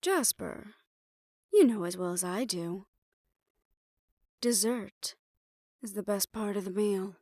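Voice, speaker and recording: A woman's voice: mid-range voice, lively intonation, takes it slowly, long pauses, dark tone, no echo, studio quality. A woman playing a poised, worldly hotel manager in an assassin underworld audio roleplay, speaking in a composed, gracious and knowing manner. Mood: gracious, playful. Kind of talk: roleplay audio drama acting.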